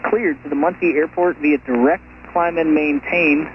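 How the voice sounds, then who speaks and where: A man's voice: fairly high pitch, fairly even intonation, normal speed. A man giving clipped, matter-of-fact air traffic control instructions over aviation radio. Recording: muffled aviation radio, engine drone, music.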